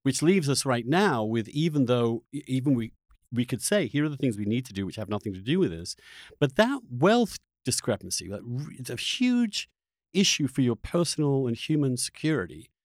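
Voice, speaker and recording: A man hosting a podcast, asking long, reflective questions in a halting, searching conversational way. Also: medium pitch, very animated, no room sound, clean studio sound. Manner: halting, searching delivery with repeated words. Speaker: podcast host.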